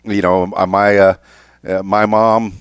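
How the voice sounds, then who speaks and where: A man in his fifties hosting a casual interview, speaking haltingly and often repeating his words. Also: lowish voice, little pitch movement, clear, resonant tone, dry recording, studio quality. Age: 50s. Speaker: podcast host interviewer.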